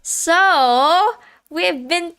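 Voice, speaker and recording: A woman's voice: very high voice, very slow, pushed and bright. A woman in her thirties hosting a casual livestream, chatting with viewers, laughing often and reading the chat aloud. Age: 30s. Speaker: livestream host.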